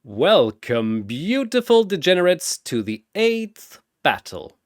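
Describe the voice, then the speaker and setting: A man's voice: a little high, very animated, takes it slowly, dry recording, clean studio sound, pitched above the performer's own voice. A man voicing a flamboyant four-armed godlike arena announcer, a sardonic showman with a grandiose, theatrical delivery. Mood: grand welcome. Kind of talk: ring-announcer fighter introductions.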